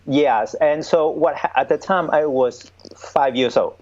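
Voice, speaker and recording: A man's voice: a little high, unhurried, darkish tone. A man in his fifties from Vietnam, speaking as an interview guest. He recounts his past hesitantly, with frequent restarts. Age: 50s. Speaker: Buddhist monk, guest. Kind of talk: conversational interview. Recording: dull lo-fi sound.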